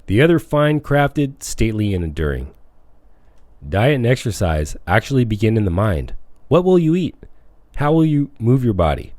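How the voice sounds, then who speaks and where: Deep-voiced man reading a self-help audiobook. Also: darkish tone, no echo. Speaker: audiobook reader.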